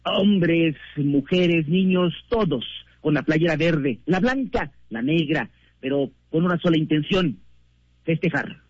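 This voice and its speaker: A man with a Mexican Spanish accent delivering a measured, scripted field report for radio news. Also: on the high side, darkish tone, husky, no echo, dull audio.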